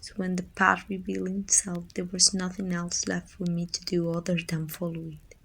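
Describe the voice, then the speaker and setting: A woman from Mexico playing a weary, bittersweet supervillain in an ASMR roleplay, breathy and often near a whisper, with slow, deliberate phrasing. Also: lowish voice, little pitch movement, fairly bright tone, slight background noise. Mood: solemn, probing. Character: weary, bittersweet female supervillain confronting a dying rival.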